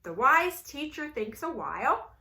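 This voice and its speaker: A woman telling a folktale at a children's storytime, playful and animated, inviting the kids to join in. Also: sits high, a little slow, gravelly. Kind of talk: storytime read-aloud for kids.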